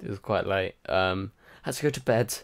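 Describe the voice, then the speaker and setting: A man's voice: deep voice, normal speed, creaky. A tired man hosting a casual livestream, rambling and chatty with lots of fillers while answering viewer comments. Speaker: livestream host. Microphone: home microphone.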